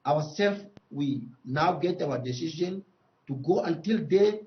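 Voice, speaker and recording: A man with a Congolese accent speaking as a traditional leader in a news interview, emphatic, with repeated phrases and halting phrasing. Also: fairly high pitch, animated, dull audio.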